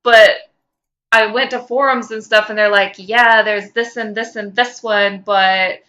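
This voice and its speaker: A woman co-hosting a podcast, informal and expressive, moving between casual chat and reading fan fiction aloud. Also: medium pitch, unhurried. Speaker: podcast co-host.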